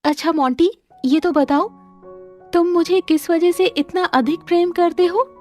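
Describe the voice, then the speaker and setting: A woman voicing a sweet, gentle young girlfriend in a cartoon story, speaking politely. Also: very high-pitched, measured pace, voice pushed up. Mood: affectionate curiosity. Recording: music under the voice.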